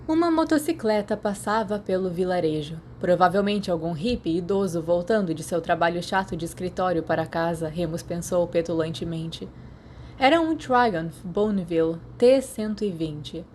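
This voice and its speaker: Low-pitched Brazilian woman narrating, noisy recording. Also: normal speed, a little mellow. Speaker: podfic narrator. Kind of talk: scripted audiobook narration of fan fiction.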